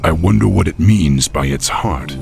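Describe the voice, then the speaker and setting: A man acting a young orc war chief in a video game cinematic, resolute and thoughtful, with measured, deliberate delivery. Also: very deep voice, creaky, a little mellow, faint music behind, full character voice.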